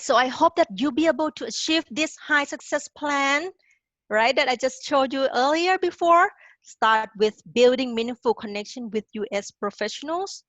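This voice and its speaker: A woman's voice: on the high side, a bit bright, no echo. A woman from Thailand giving a career webinar to international students, coaching them directly and often checking in with "okay?". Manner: encouraging, direct, tags lines with "okay?". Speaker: career advisor presenting a webinar.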